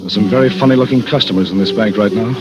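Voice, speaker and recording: An actor playing a wry, easygoing young bank teller in a 1940s radio drama. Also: male voice, fairly low pitch, rapid-fire, close to an everyday voice. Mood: wary. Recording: old 1940s radio recording, faint music.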